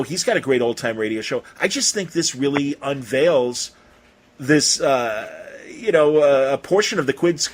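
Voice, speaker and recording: A man's voice: a little high, husky. Rambling American man interviewing casually. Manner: effusive, rambling. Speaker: podcast interviewer.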